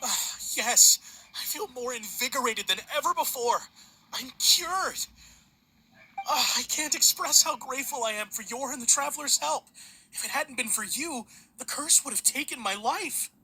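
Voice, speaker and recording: A woman's voice: high-pitched, expressive, moderate pace, bright, forceful tone, a little hiss or hum, full character voice, rough recording. A woman voicing an anxious young townswoman who believes she is cursed, earnest and eager, in a video game.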